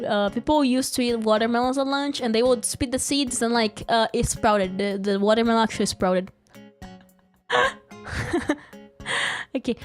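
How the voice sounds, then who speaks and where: A young woman, chatting casually and playfully on a live stream, giggling often and rambling. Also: on the high side. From Brazil. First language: Portuguese. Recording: faint music behind.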